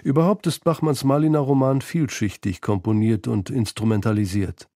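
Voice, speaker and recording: A man's voice: mid-range voice, steady tone, moderate pace, warm tone, no room sound, studio quality. A man reading scripted narration as the narrator of a literary feature.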